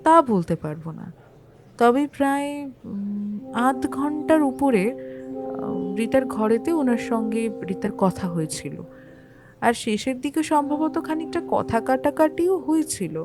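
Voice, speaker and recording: A woman's voice: on the high side, animated, unhurried, over music. An actress playing a landlady testifying in a courtroom audio drama, chatty, hesitant and a little defensive about her propriety. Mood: hesitant recall. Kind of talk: Bengali audio-story courtroom acting.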